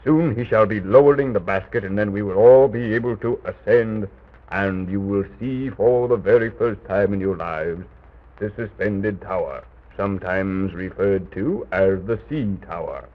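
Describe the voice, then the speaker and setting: A man playing a courteous, patient castle guide in armor for an old children's radio serial, speaking formally and with measured explanation. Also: on the low side, close to an everyday voice. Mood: explaining. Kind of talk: 1920s-30s children's radio serial acting. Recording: tinny old radio recording.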